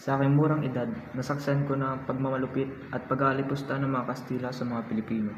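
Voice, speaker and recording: A man's voice: mid-range voice, level tone, fast talker, muffled recording, lightly acted. A man playing an earnest, respectful young student who tells his story in an amateur radio drama. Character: earnest, respectful schoolboy hero telling his life. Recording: echoey hall, noticeable background noise.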